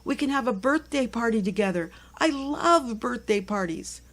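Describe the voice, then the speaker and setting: An eager young schoolgirl in a children's storybook, voiced by a woman reading aloud. She is earnest and kind, with a bouncy delivery that lifts when she gets excited. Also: on the high side, normal speed, voice pushed up.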